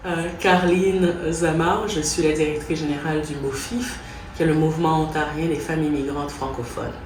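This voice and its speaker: A woman from Haiti, an executive director, giving measured interview answers. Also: low-pitched, fairly even intonation, darkish tone, a little hiss or hum. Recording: roomy, distant mic, music behind.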